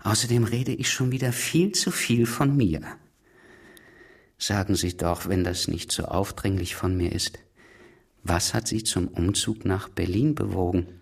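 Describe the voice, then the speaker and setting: Worldly gentleman globetrotter for radio drama: husky low voice, urbane, mocking, self-deprecating. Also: unhurried, heavily acted. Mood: urbane, reflective. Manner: urbane, ironic, courteous. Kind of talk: German radio drama acting.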